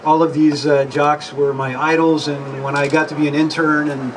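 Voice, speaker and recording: A man's voice: fairly high pitch, level tone, normal speed. A man in his sixties casually reminiscing to an audience, acting out bits of old conversations. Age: 60s. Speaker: former radio intern, panel guest. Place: public event with audience. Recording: distant event mic, background noise.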